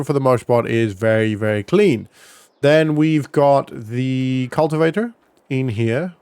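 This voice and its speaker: A male gaming vlogger with a clean, resonant voice at a middling pitch, recorded dry with no room sound. He talks casually and conversationally, explaining what is going on in a roleplay game as he plays. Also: smooth, no room sound. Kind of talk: casual roleplay gameplay commentary.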